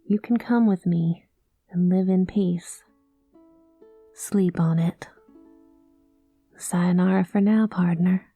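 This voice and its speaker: A woman playing a rogue space pilot in an ASMR roleplay, talking close to the mic in a soft, folksy cowboy drawl that drops into whispers. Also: lowish voice, steady tone, slow, pauses often, faint music behind. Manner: soft-spoken, slips into whispers. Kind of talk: ASMR roleplay, spoken to the listener.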